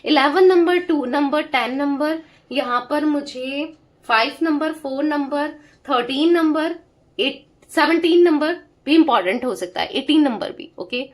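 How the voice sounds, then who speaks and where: High-voiced woman reading tarot with a sore throat. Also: a little slow, low-quality recording. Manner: emphatic, repetitive, talks straight to the viewer with frequent "theek hai?". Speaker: tarot reader. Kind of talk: direct-to-camera tarot reading.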